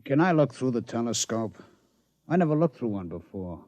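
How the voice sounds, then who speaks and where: Brash, wisecracking casino boss played by a man; lively delivery, old radio drama. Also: mid-range voice, normal speed, soft background music, natural voice. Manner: brash, wisecracking, laughs a lot. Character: brash male casino boss, fast-talking gambler. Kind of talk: 1950s radio drama acting. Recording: dull old radio broadcast.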